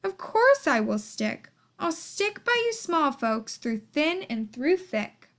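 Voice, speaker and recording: Woman as loyal storybook elephant, very high voice, earnest, pleading, swooping melody. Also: big pitch swings, slow pace, a bit bright, exaggerated voice. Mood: reassuring.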